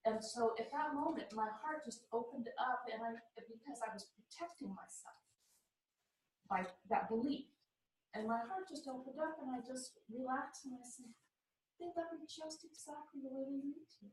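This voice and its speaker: A woman's voice: high-pitched, normal speed, recorded from a distance, a little hiss or hum. Earnest woman, roomy and off-mic. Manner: earnest, heartfelt. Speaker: group member. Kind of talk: personal sharing.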